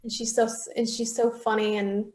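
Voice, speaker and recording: Brief: young woman from Peru, talkative interview guest, fairly high voice, frequent restarts. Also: level tone, brisk, darkish tone. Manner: chatty, many restarts and fillers, laughs easily. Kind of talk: casual interview chat.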